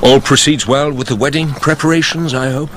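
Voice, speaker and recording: An actor playing a cold, controlled, vengeful count, speaking in a clipped, formal, ironic way in a radio drama. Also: male voice, medium pitch, fairly even intonation, fairly quick, noisy background, close to an everyday voice. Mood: cool, probing. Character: cold, controlled avenging count, quietly menacing.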